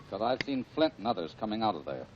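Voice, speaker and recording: Deep-voiced stern serial hero. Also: male voice, big pitch swings, fast talker, background noise. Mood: resolute. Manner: terse, matter-of-fact, commanding. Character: resolute male crime-fighting hero, stern and commanding. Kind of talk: 1940s movie serial acting. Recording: muffled old 1940 film soundtrack, music.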